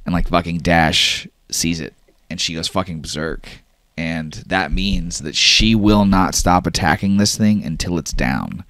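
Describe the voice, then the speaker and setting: A man runs a horror tabletop game in a low, forceful voice. He improvises vivid narration emphatically and casually, peppered with profanity, in a very dry acoustic. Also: measured pace, no room sound. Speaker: game master.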